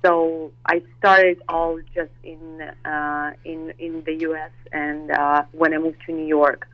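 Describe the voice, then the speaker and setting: Woman, halting, lo-fi. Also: low voice, little pitch movement, no echo. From Russia. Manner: hesitant, many fillers and restarts, laughs easily. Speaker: actress and model guest. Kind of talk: interview chat.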